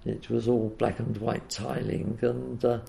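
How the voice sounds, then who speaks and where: An older man with a croaky voice, recalling the past in an interview, hesitating often with uhs and ums. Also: medium pitch, fairly even intonation, a little slow, dark tone, a little hiss or hum. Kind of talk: oral history reminiscence.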